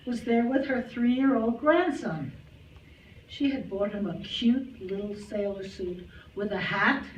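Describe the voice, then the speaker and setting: A woman giving a dramatic, deliberate staged reading of a story. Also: medium pitch. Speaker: reader at a community readers theater. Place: club hall stage, before an audience. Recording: distant mic, echoey hall, noisy.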